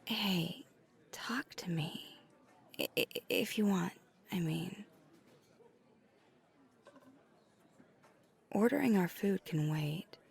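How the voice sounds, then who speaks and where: A woman plays a flirty, caring female vampire in an intimate ASMR audio roleplay. Her voice sits low, with a soft creak and a breathy edge that nears a whisper. She talks slowly and gently, halting as she searches for words. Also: full character voice. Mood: gentle, concerned. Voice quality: breathy, near-whispered in places. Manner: soft-spoken, often breathy, hesitant and halting.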